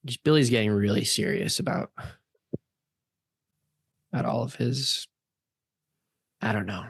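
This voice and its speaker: A man's voice: fairly low pitch, no room sound. A man playing an anxious, evasive young man in an amateur radio play, hesitant and defensive, often repeating himself. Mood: uneasy.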